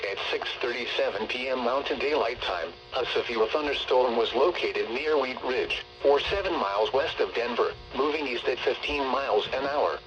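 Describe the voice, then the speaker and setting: A synthetic male text-to-speech voice reading scripted emergency weather bulletins over the radio in a formal, measured way. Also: mid-range voice, rough recording. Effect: weather radio broadcast. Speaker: automated weather radio announcer.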